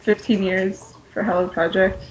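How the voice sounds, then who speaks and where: A woman's voice: mid-range voice, steady tone, normal speed, a little hiss or hum. Casual woman panelist, dull lo-fi sound. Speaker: podcast panelist. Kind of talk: casual chat.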